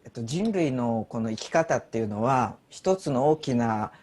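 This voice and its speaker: A man from Japan speaking as a guest at an online conference, in an animated, thoughtful way. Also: mid-range voice, fast.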